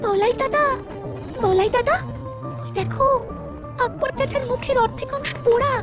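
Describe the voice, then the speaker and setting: A woman voicing a frightened, wary village child in an animated horror cartoon, anxious and expressive. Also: very high-pitched, moderate pace. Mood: terrified. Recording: music behind the voice. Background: music.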